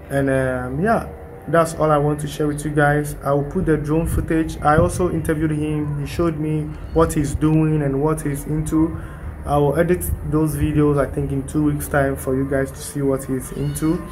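A man's voice: on the high side, a little hiss or hum, rough recording. A young man, chatting casually to his vlog audience, filmed on a phone held at arm's length outdoors at a building site, talking loosely with fillers and restarts. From Ghana. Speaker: vlogger.

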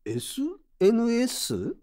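A woman playing a gruff but kindly old craftsman with curt old-man speech in an audio drama. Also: fairly high pitch, sing-song, slow pace, warm tone, exaggerated voice. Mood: curious, kindly. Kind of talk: Japanese audio drama acting. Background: background music.

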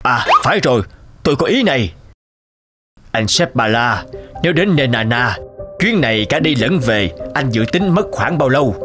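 A man's voice: mid-range voice, big pitch swings, normal speed, close to an everyday voice. A man voicing a grave, worried town official in a dramatic cartoon. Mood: grave, urgent. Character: grave, worried men in a snowbound town emergency. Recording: music behind the voice.

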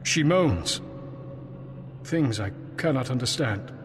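A troubled Puritan husband speaks in solemn, old-fashioned phrases, heavy with guilt. His voice carries a long reverb over loud music, as in a dark video game drama. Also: mid-range voice, expressive, slow. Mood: haunted, fearful. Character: troubled Puritan farmer husband, colonial man, guilt-ridden. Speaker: game character.

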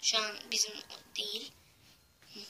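A video host giving casual reaction commentary while filming a cartoon on a screen with a phone, talking in quick, chatty, apologetic asides. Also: mid-range voice, completely flat delivery, sharp tone. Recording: phone recording with cartoon music behind. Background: cartoon soundtrack playing.

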